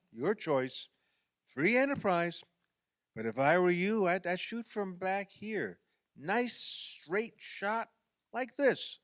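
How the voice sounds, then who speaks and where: A man's voice: high-pitched, fairly bright tone, dry recording, studio quality, heavily acted. An actor in a radio play playing an exasperated man who coaxes and explains insistently, often stammering. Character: exasperated man coaxing a woman through a playground basketball game.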